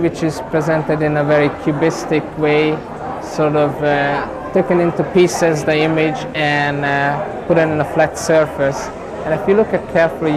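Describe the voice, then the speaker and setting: Hesitant Hungarian-accented man, busy crowd. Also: fairly high pitch. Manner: hesitant, many fillers, searching for words. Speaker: painter. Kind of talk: interview about his paintings. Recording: loud crowd at an event.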